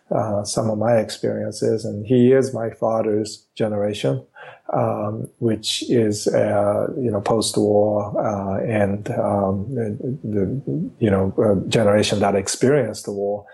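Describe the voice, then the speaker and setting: A man whose first language is Japanese, an author guest in a podcast interview, speaking haltingly with many fillers and restarts. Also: on the low side, steady tone, gravelly, warm tone. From born in the US, raised partly in Japan.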